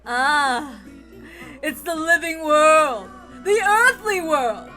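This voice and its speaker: High, gleeful, taunting young witch. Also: lively intonation, bright, forceful tone, soft background music, full character voice. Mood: gleeful exultation. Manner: theatrical, drawn-out words, cackling laughter.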